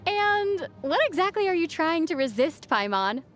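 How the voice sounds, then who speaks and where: A woman playing a young female traveler in a video game, gently teasing and reassuring, with a playful, dry manner. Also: extremely high pitch, sing-song, moderate pace, faint music behind, heavily acted. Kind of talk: video game character dialogue.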